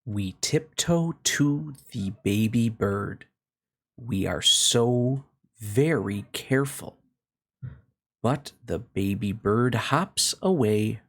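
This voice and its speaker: Slow, gravelly man reading aloud. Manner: slow, expressive storytelling with swooping pitch. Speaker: children's book read-aloud host. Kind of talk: reading a picture book aloud to children.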